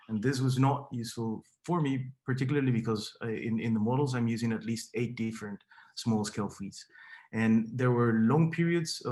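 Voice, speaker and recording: A man's voice: mid-range voice, darkish tone. A young man from Peru, a Spanish speaker with a Peruvian accent, giving an academic seminar talk with many fillers and repeated words. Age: young adult. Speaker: PhD candidate giving a seminar.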